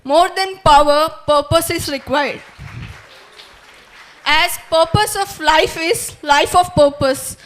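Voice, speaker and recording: A woman's voice: high-pitched, takes it slowly, fairly bright tone. A young woman from India, a medical student, giving an earnest formal thank-you speech with a few restarts. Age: young adult. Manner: earnest, formal, quotes sayings, some restarts.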